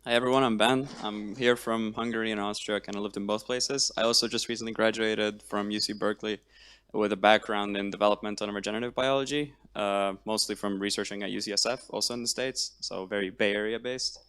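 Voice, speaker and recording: A man's voice: on the low side, fast, clean tone. A young man, recently graduated, introducing himself casually at a ceremony. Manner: casual, relaxed phrasing. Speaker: incoming student.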